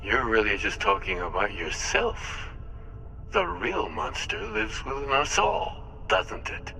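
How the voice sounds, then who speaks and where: An actor playing a cynical immortal villain in a video game, gravelly and sardonic, goading the listener. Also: male voice, fairly high pitch, very animated, slow, pushed and bright, slight background noise, exaggerated voice, rough recording. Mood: taunting. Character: cynical, taunting immortal male villain, formerly human. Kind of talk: dramatic video game acting.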